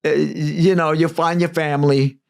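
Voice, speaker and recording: Gravelly older New man. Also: a little high, normal speed. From United States. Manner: rambling, animated, acts out dialogue. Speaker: guest musician. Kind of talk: casual interview storytelling.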